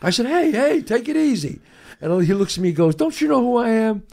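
A man's voice: extremely high pitch, fairly quick, gravelly. A man, a guest telling stories and acting out the lines of people in them. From New York. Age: 60s. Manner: animated storyteller who acts out quotes. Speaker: guest, actor and writer. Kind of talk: storytelling in an interview.

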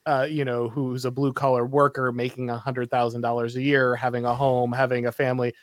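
American male host, wry sarcastic tone, mid pitch, dry close sound. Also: little pitch movement, a little fast, no echo. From United States. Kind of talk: casual political discussion.

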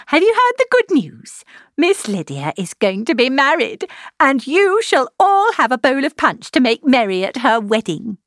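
A woman voicing an excitable, silly middle-aged mother in an audiobook, gushing and fussing in exclamations. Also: medium pitch, dry recording, clean studio sound. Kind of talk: audiobook character voice.

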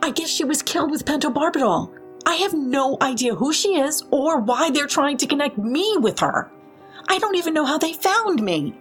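A woman's voice: sits high, expressive, moderate pace, faint music behind. A woman playing a defensive, rattled professional caregiver in an audio drama, earnest and emphatic. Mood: rattled, explaining. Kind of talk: naturalistic audio drama acting.

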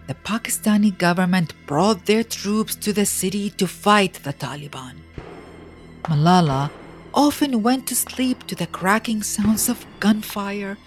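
A woman hosting a storytelling podcast, reading scripted narration for children in an expressive, deliberate way with dramatic pauses. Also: mid-range voice.

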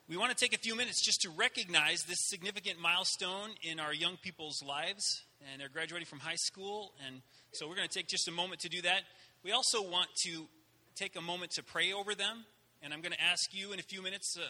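A man's voice: a little high, sharp tone. A man leading a church service as pastor, speaking informally with fillers and restarts. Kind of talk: church service announcements and prayer. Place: church sanctuary. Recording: long hall reverb.

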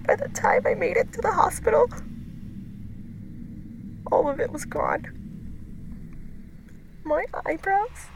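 Shaken female caller, slow halting delivery, gravelly fry, dramatic confessional monologue, noisy background. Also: very high-pitched, lively intonation, heavily acted. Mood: rising panic. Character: shaken woman recounting losing all her hair at a hotel. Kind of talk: dramatic first-person monologue. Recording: noisy recording with ambient sound.

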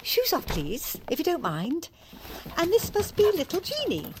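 A woman's voice: extremely high pitch, wildly swooping pitch, a little slow, background noise, full character voice. An actress playing a fussy, chirpy middle-aged British mother welcoming guests in an audio drama, with a sing-song, polite delivery. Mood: bright, welcoming. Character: chirpy fussy middle-class mother hosting her grown daughter.

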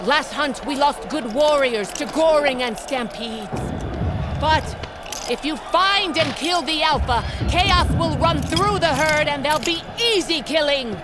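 Fierce high-pitched woman war chief. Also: expressive, a little slow. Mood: commanding, rousing. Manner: declamatory, forceful. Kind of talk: dramatic audio drama oratory. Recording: loud music and crowd behind.

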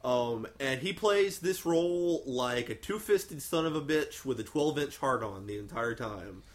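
A man's voice: mid-range voice, animated, a little slow. A man hosting a podcast, chatting casually and enthusiastically with many fillers and restarts. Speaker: podcast host. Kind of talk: casual movie review chat.

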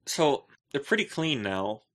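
A man with a bright, forceful voice chats casually as a podcast co-host. His pitch moves around in a lively way, and his manner is jokey and theatrical. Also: a little slow. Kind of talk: casual chat.

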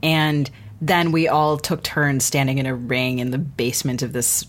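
A woman's voice: a bass voice, flat delivery, moderate pace. A woman co-hosting a literary podcast, asking questions and sharing stories in an effusive, conversational way. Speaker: podcast co-host. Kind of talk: interview conversation.

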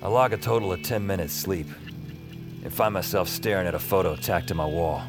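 A young, self-deprecating narrator in an audio fiction drama. His voice sits low, and he tells his story drily and conversationally, with music clearly underneath. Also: brisk. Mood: wry, dry. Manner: wry, dry, conversational. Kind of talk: first-person scripted audio drama narration.

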